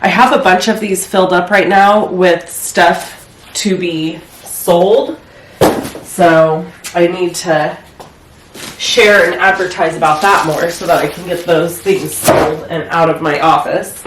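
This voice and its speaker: A woman with a slightly low, stuffed-up voice talks her way through tidying her craft room at home. Her chatty, rambling delivery is full of filler words. The room sounds echoey, with a faint hiss behind her. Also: steady tone, takes it slowly. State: stuffy from a head cold. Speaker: crafting vlogger. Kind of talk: casual chat while decluttering.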